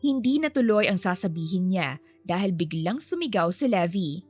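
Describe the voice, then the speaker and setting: A woman's voice: on the low side, animated, normal speed, darkish tone, no echo. A woman storyteller reading scripted fiction narration. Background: music.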